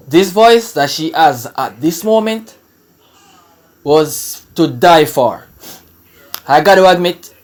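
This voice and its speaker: A man with a Jamaican accent hosting a casual reaction video, emphatic and exclamatory, often repeating his phrases. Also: a little high.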